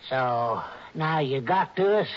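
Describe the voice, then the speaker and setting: A man playing a folksy, nervous, slow-witted lodge keeper in a radio drama, hesitant and stammering. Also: medium pitch, expressive. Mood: uneasy. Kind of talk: 1960s radio drama character acting. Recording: dull, noisy old radio broadcast.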